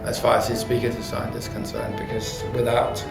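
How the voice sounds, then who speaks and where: Creaky-voiced man, reflective and hesitant. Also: medium pitch, completely flat delivery, normal speed. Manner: hesitant, reflective, often rephrasing mid-sentence. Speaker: audio company designer being interviewed. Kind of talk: unscripted explanation in an interview. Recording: roomy echo with music behind.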